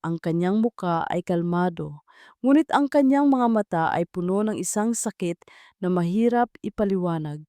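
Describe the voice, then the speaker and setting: A Filipino woman narrates a dramatic love story from a script. Her voice is soft-edged and mellow, and the sound is very dry, with hardly any room around it. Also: lowish voice, expressive, normal speed, clear, resonant tone, dry recording.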